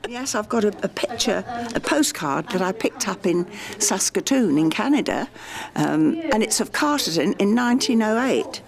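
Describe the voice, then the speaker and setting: An older woman, reminiscing casually. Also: mid-range voice. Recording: background chatter in a room.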